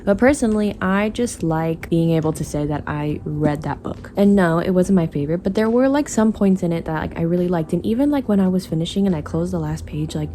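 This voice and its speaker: A woman's voice: fairly low pitch, expressive, a little fast, hardly any pauses, dark tone, slight background noise. A young woman chatting casually about books as a vlogger, with an informal, slangy way of talking. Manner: informal, youthful slang and asides. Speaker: book vlogger. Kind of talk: casual chatty book review to camera. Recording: voice over clearly audible background music.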